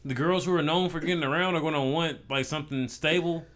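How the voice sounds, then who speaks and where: A man's voice: fairly high pitch, fairly even intonation, fast, a bit bright. A young man, co-hosting a podcast, telling stories in a laid-back way with plenty of ums. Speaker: podcast co-host. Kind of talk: casual chat.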